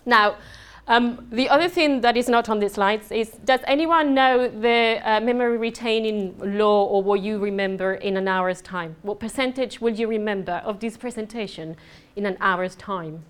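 A woman from southern Spain with a Spanish accent, giving a fast, chatty, joking networking presentation to a room. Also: mid-range voice, smooth. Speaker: networking speaker. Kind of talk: lively conference presentation. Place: conference room. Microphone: mic at a talk.

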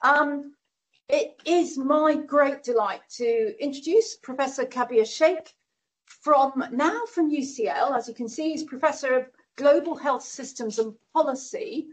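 Woman, high voice, host, halting, searching for words. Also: rough recording. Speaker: host introducing the speaker. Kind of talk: event introduction.

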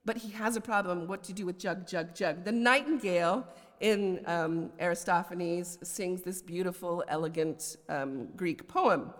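The long reverb of a lecture hall surrounds an American woman's slightly low voice. She lectures like a professor of poetry, in a scholarly way that makes room for informal, conversational asides. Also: a little slow. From United States. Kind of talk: academic lecture. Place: large indoor lecture hall.

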